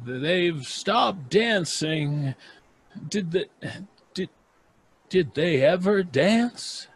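A middle-aged man playing a gruff, determined small-town doctor in an old-time radio horror play, with dramatic pauses. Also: sits high, expressive, exaggerated voice. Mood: shaken, grave. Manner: urgent, commanding, dramatic pauses.

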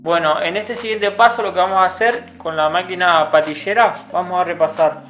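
A young man, a barber calmly explaining a haircut step by step in a small barbershop. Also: high voice, normal speed. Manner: calm, instructive, repeats steps patiently. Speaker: barber instructor. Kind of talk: step-by-step tutorial narration. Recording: roomy sound with music behind. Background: background music and clipper buzz.

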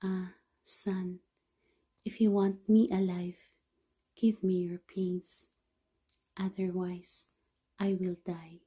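A woman voicing a grieving mother who mourns her dead son, in a soft, half-whispered lament. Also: lowish voice, flat delivery, slow, long pauses, slightly rough. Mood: sorrowful.

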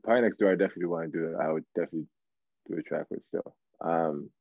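A young man from Toronto, Canada, chatting casually as an interview guest, halting and repeating words as he thinks, over a video call. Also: deep voice, no room sound. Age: young adult. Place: indoor room at home, video call. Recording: narrow, phone-like video call audio.

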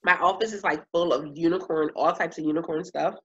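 A woman in her thirties, a coach and speaker, chatting in an interview over a video call. She talks in an animated way, laughs often and stretches words for emphasis. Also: lowish voice, fairly quick, slightly rough. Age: 30s. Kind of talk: casual interview chat. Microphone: webcam on video call. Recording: slightly muffled video-call audio.

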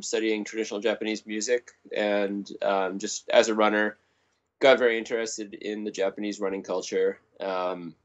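A man who was born in Canada and grew up in the United States, explaining things in a measured way as an interview guest, with many ums. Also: fairly low pitch, lo-fi sound. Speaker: podcast guest, running expert. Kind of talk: interview answers.

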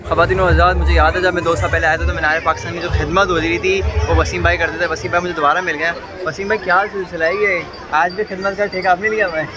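A young man with a Pakistani accent hosts a street vlog in a high voice. He talks very quickly and chattily, addressing his viewers and repeating phrases for emphasis. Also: flat delivery, a crowd in the background, noisy background. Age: 20s. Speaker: vlogger and host. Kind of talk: enthusiastic vlog commentary and street interviewing. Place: outdoors at night in a crowded tented cattle display.

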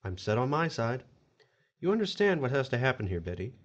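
A man plays a wry, officious spirit who collects the dead in a horror audio drama. His pitch swings theatrically through formal explanations, on a slightly muffled recording. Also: big pitch swings, brisk, lightly acted. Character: smooth-talking, officious male otherworldly collector of souls.

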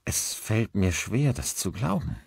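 Man voicing a chatty village woman, raised pitch. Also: very animated, unhurried, gravelly, slightly rough, no room sound, exaggerated voice. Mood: disbelieving. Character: friendly, hospitable village woman, chatty neighbour.

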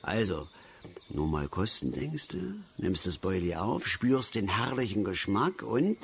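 A man's voice: fairly low pitch, big pitch swings, measured pace, gravelly, a little hiss or hum, exaggerated voice. An actor playing a cantankerous, opinionated middle-aged father and angler in a radio play, lecturing and easily worked up. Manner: blustering, lecturing, repeats words in agitation. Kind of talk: naturalistic radio play acting.

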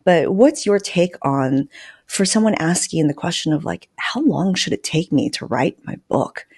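A woman's voice: fairly low pitch, lively intonation, normal speed, darkish tone, husky. A middle-aged woman hosting a podcast in an enthusiastic, informal way, speaking close to a desk mic. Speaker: podcast host. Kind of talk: casual interview and podcast intro. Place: indoor room at home. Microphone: close desk microphone with fluffy windscreen.

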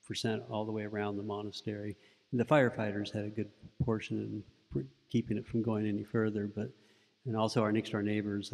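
Soft-spoken middle-aged monk, deep mellow voice. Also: male voice, vocal fry, long reverb tail, poor audio. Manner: relaxed storytelling with frequent restarts. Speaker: Buddhist monk giving a talk. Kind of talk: informal dharma talk. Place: indoor meditation room with paper screens. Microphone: stand mic close in front.

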